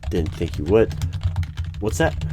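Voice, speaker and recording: A man playing an exasperated, bureaucratic secret agency hiring manager in a one-sided interview roleplay, halting and argumentative as he rephrases himself. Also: low voice, sing-song, noisy background, exaggerated voice. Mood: curious, businesslike. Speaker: solo roleplay performer. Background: computer keyboard typing.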